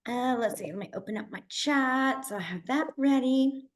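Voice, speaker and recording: A woman with a hoarse, partly lost voice, teaching a beginner sign language class online in a chatty, encouraging way. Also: high-pitched, moderate pace. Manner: chatty, encouraging, many fillers.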